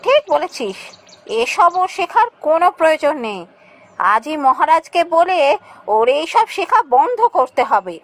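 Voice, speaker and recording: A woman's voice: very high-pitched, very animated, moderate pace, a bit bright, exaggerated voice. A woman voicing a scheming, jealous younger queen in a fairytale cartoon, speaking in an insinuating, emphatic way. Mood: conspiratorial, dismissive. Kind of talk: Bengali fairytale cartoon voice acting.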